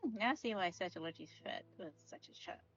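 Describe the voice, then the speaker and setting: A woman's voice: medium pitch, lively intonation, fast, fairly bright tone, highs cut off. A woman livestreaming a game, giving casual spoken reactions. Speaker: streamer playing a game. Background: game music.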